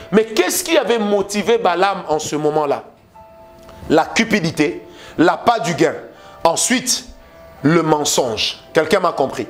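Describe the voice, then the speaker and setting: A man with a Congolese French accent preaching a sermon, stressing his points with repeated phrases and rhetorical questions. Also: high voice.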